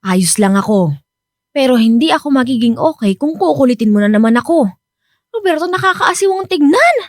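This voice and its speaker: Older filipina being courted, radio drama, swoopy high voice, guarded, easily annoyed, scolds a lot. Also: female voice, sing-song, brisk, no room sound, studio quality. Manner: scolding, skeptical.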